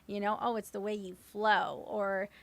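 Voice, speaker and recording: Raspy-voiced woman rapper. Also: medium pitch, a little slow. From US West Coast. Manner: relaxed, many fillers like "you know". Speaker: guest hip hop artist. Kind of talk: casual interview answers.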